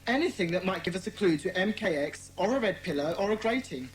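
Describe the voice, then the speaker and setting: Woman acting a lively, bossy boy leader, noisy backdrop. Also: mid-range voice, fast, lightly acted. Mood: brisk, commanding. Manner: decisive, instructing. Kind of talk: dramatised children's audiobook acting.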